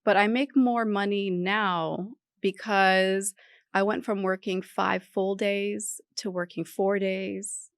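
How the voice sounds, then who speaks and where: A woman's voice: medium pitch, steady tone, takes it slowly, clean tone, no echo, studio quality. A middle-aged woman from Iran, a podcast guest talking candidly and with determination about her career change. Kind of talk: casual interview talk.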